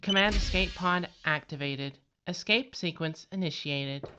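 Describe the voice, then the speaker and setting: A woman's voice: lowish voice, measured pace, highs cut off. A woman voicing an impersonal recorded spaceship announcer in a sci-fi audio drama, formal in delivery. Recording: echoing ship loudspeaker announcement.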